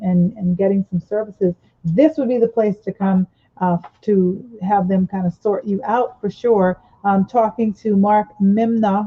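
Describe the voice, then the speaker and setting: A woman's voice: medium pitch, lo-fi sound. Chatty woman hosting radio. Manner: chatty, upbeat, many fillers. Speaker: radio show host. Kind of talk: casual radio interview hosting. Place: small radio studio. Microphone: studio mic on boom arm, headphones.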